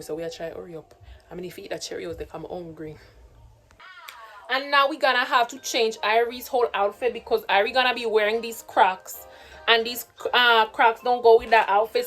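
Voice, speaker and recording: A woman's voice: a little high, a bit bright. A young woman from Trinidad, speaking in a Jamaican patois accent that she has learned, chatting casually to her phone camera for a vlog. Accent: Jamaican patois, put on by a Trinidadian. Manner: chatty, mixes patois and standard English. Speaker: vlogger, single mother. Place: home, then in a car and out shopping.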